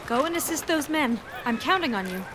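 A woman voicing a devoted, gentle young wife and war heroine in a video game, speaking in an earnest, formal way. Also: high voice, animated, fairly quick, heavy background noise. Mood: resolute. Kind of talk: scripted video game cutscene acting.

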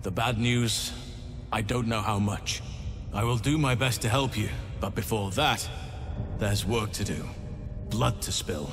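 A man playing a grave, wry elf druid warrior in a video game, measured and formal. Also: fairly low pitch. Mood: grave, resolute. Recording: reverberant stone room, music behind.

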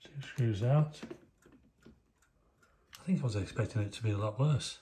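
Man talking over clear noise and clicks. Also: mid-range voice, moderate pace, warm tone. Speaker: host of a hands-on vintage electronics video. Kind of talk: casual hands-on demonstration commentary.

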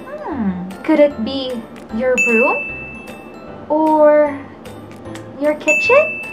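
Very high, animated young woman teacher. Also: extremely slow. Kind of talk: introducing a story for e-learning. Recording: music and chimes behind the voice. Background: music with chimes.

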